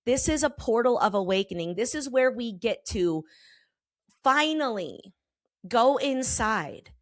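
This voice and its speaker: A slightly hoarse woman in her forties, a therapist hosting her own podcast, talking in a candid, emphatic way with casual swearing. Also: a little high, animated, measured pace, no echo. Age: 40s. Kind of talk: personal podcast monologue. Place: Colorado.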